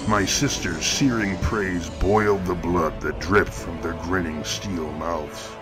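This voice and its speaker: An actor playing a grim, eloquent killer who narrates horror fiction in a measured, florid, theatrical manner. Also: male voice, low-pitched, fairly even intonation. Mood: grim relish. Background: music.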